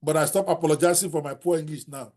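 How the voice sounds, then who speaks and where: An older Nigerian man with a Yoruba accent, teaching a book-writing class in a blunt, animated way and dropping in Yoruba phrases. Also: a little high, fast talker. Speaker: host.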